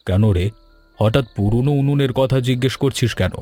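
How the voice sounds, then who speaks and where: A man playing a curious, worried village father who runs a sweet shop in an animated story. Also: mid-range voice, fairly quick, dry recording, faint music behind, close to an everyday voice. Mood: curious, suspicious.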